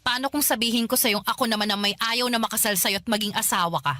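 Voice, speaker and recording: A woman's voice: on the high side, fast, bright, forceful tone, no room sound, studio quality. An actress with a Filipino accent playing a cruel, scornful young woman in a radio drama, taunting and impatient. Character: scornful, cruel young female partner, cold and mocking.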